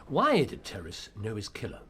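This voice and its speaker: A sharp, sardonic detective in Victorian London. His pitch swings widely as he probes and needles. He speaks as a figure in a radio play. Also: wildly swooping pitch, moderate pace, heavily acted. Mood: probing, incisive. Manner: incisive, teasing, probing. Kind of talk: radio drama acting.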